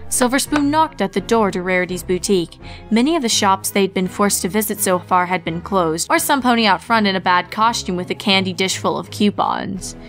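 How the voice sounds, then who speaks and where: A woman's voice: medium pitch, brisk, fairly bright tone. A woman reading a scripted fan fiction story as its narrator. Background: background music.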